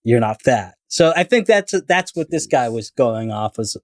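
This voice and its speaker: A man's voice: mid-range voice, big pitch swings, normal speed, no echo, clean studio sound. A man born in Canada telling stories in a casual podcast chat, rambling with many fillers and restarts. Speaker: guest storyteller.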